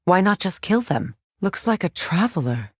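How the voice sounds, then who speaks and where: A woman playing a regal, guarded warrior goddess in a video game, speaking in a measured, solemn, dry-witted way. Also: fairly low pitch, very animated, husky, no echo. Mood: guarded, watchful. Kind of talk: dramatic video game voice acting.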